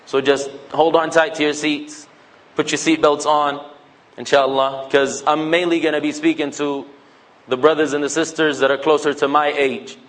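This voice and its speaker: A man's voice: fairly high pitch, level tone, moderate pace, fairly bright tone, rough recording. A man, giving a casual, joking religious talk to young people and acting out bits of dialogue. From United States. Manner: conversational, joking, acts out quoted dialogue.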